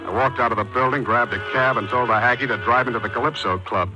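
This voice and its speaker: An American actor playing a hardboiled, wisecracking private detective in a 1940s radio drama, terse, slangy and sardonic. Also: male voice, mid-range voice, fast, close to an everyday voice, low-quality recording. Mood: wry narration. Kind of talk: 1940s radio detective acting, first-person narration. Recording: 1940s radio recording with music behind.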